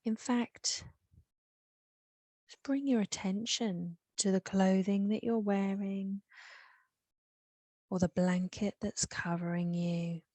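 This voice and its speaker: A woman with an English accent leading a guided meditation, soothing and unhurried, with long pauses. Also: medium pitch, fairly even intonation, dark tone, dry recording.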